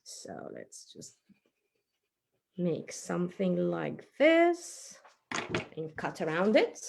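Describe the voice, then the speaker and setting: A woman's voice: lowish voice, sing-song, drawn-out words, vocal fry. Woman chatting through a kids' craft. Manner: chatty, encouraging, thinking aloud while working with hands. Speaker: children's craft and story presenter. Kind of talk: casual craft tutorial for young children, narrating steps aloud. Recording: faint background noise, small clicks.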